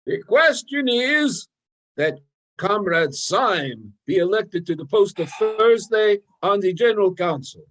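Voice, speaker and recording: A man's voice: sits high, expressive, slow pace, a bit bright, full character voice, rough recording. A man playing a formal, businesslike chairman of an anarchist meeting in an amateur radio play reading over a video call. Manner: formal, procedural.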